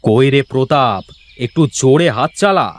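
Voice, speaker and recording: Cartoon village landowner, acted by a man: stingy, impatient, scolding, very animated pitch, unhurried pace. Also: natural voice. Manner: scolding, bossy. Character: stingy, impatient village landowner hurrying his hired hand. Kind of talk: Bengali cartoon folk-tale voice acting.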